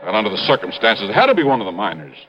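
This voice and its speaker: An American actor playing a blustering, big-hearted older mine owner in a 1950s radio drama, gruff, emphatic and booming. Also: male voice, very animated, racing. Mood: grave, baffled. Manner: emphatic, gruff, swinging from bellowing to grave. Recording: muffled, noisy old radio recording.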